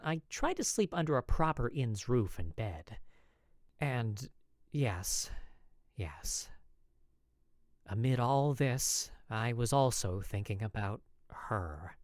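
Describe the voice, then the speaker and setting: A voice actor narrating a first-person story like a journal, expressive and in character. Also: medium pitch, no echo, clean studio sound.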